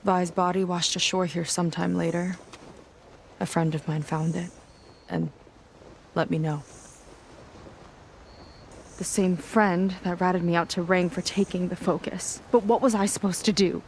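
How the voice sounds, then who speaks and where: A woman's voice: fairly low pitch, fairly even intonation, unhurried, gravelly, pauses often, a little hiss or hum. An actress playing an earnest, guarded young seafaring woman in a video game cutscene, speaking conversationally. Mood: somber, resolute.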